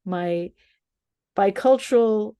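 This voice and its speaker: An older woman from the US-Mexico border, bilingual in English and Spanish, talking about stories in a thoughtful, measured conversation with frequent restarts. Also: medium pitch, mellow, clean tone, dry recording, studio quality. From US-Mexico border region. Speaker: columnist. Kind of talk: reflective conversation.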